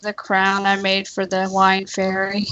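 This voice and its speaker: A woman's voice: mid-range voice, completely flat delivery, a little slow, a bit bright, no room sound, highs cut off. A woman co-hosting a livestream and chatting casually. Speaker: livestream co-host. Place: indoors, live stream.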